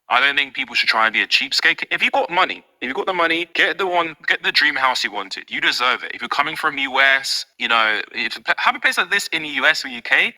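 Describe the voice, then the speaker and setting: Bright-voiced British man chatting to camera. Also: mid-range voice, poor audio. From United Kingdom. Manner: chatty and direct, frequent fillers and rhetorical asides. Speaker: vlogger. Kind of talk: casual talking-to-camera vlog. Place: his home.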